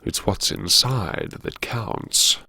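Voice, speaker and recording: A man performs a wry university grandee narrating an audio memoir. The voice is gravelly and swoops theatrically, lingering sardonically over words. It is recorded dry and close, with a slow, deliberate delivery. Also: on the low side, wildly swooping pitch, sharp tone, no room sound, exaggerated voice. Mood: wry, relishing. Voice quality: gravelly, husky. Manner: arch, sardonic, savouring words with dramatic pauses. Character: wry, theatrical, self-mocking university vice-chancellor narrating his haunted memoirs. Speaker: narrator of a serialised audio story.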